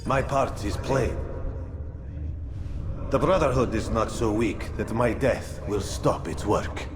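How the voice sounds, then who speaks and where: An actor playing a dying slave trader in a video game, bitter and defiant, with a theatrical preaching delivery. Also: male voice, lowish voice, slow pace, over music. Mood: defiant, weary. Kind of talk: dramatic video game cutscene acting.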